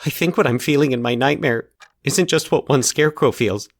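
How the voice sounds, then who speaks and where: A man's voice: mid-range voice, fairly quick, pitched above the performer's own voice, lightly acted. A man voicing an agitated, frightened boy patient in a dramatic story reading. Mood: insistent, agitated.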